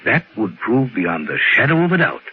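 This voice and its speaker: An actor playing an earnest, dignified doctor in measured, formal 1950s radio drama style. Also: male voice, mid-range voice, sing-song. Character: earnest, dignified doctor running a clinic, mature man. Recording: muffled old radio recording, background noise.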